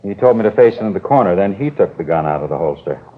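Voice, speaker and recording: An actor playing an earnest, apologetic bank guard with a deferential, plain-spoken manner in a 1950s radio drama. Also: male voice, sits low, animated, fast talker. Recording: old 1950s radio recording, narrow tinny band.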